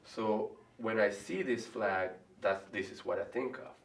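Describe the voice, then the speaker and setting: A middle-aged man with a Venezuelan Spanish accent, answering interview questions in a thoughtful, hesitant way with many pauses and restarts. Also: on the low side. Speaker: artist and college faculty member. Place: room in front of a large painting. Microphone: far camera. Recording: echoey room from far off, faint hiss.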